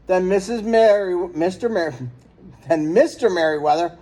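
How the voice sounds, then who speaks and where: High-voiced man reading a children's story. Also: moderate pace, warm tone. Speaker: storyteller reading a picture book. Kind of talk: children's story read-aloud.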